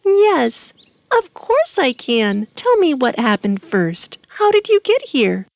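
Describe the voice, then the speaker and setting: A woman voicing a kind, reassuring young princess in an animated fairy tale. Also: high-pitched, takes it slowly, creaky, no room sound, exaggerated voice. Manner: gentle, reassuring.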